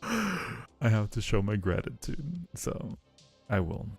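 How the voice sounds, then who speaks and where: A man's voice: on the low side, big pitch swings, slow, vocal fry, husky, faint music behind. A man hosting a casual livestream, chatting playfully and affectionately with viewers in a rambling way, often repeating phrases. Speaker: livestream host.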